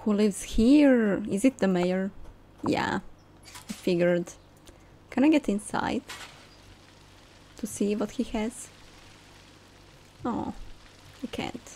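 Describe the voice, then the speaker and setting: A woman's voice: mid-range voice, slow, long pauses, a little hiss or hum. A young woman playing a game and commenting casually, thinking aloud as she goes. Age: young adult. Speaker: gamer, host. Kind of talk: casual let's play commentary. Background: soft game music.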